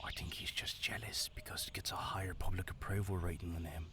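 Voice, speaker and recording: A man's voice: low-pitched, flat delivery, a little fast, sharp tone, whispered. An actor playing an easygoing townsman in a fantasy audio drama, with a playful, sardonic manner. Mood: mock-innocent teasing. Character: wry, easygoing male townsman, teasing and unbothered. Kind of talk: fantasy audio roleplay acting.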